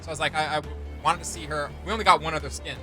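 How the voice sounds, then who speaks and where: Bright-voiced, enthusiastic young man. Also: a little high, fairly even intonation, very fast, soft background music. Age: young adult. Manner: enthusiastic, slangy. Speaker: reaction video host. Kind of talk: casual reaction commentary. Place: indoor home studio room.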